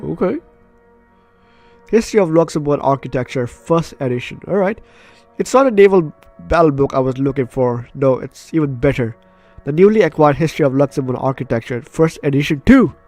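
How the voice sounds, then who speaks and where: A man's voice: a little high, lively intonation, a little fast, a little mellow. Man reading game text, music behind. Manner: playful, chatty asides. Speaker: gaming YouTuber. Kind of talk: let's play, reading game text aloud with casual reactions.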